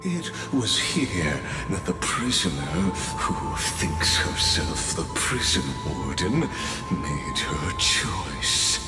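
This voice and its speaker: An actor playing an ancient, scheming imprisoned villain in a video game, calm and condescending, with theatrical, mocking delivery and dramatic pauses. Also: male voice, deep voice, exaggerated voice. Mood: smug, ominous. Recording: echoey long reverb, music underneath.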